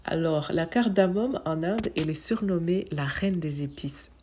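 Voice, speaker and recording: A woman's voice: low voice, moderate pace, a little mellow, a little hiss or hum. A woman from Mayotte narrating informative asides with enthusiasm, listing facts with casual fillers. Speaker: wellness channel host. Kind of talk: informative voice-over.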